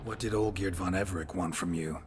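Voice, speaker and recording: An actor playing a stoic, gruff mutant monster hunter in a video game, terse and deadpan. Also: male voice, low voice, a little fast, soft background music, close to an everyday voice. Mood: probing. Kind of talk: video game drama acting.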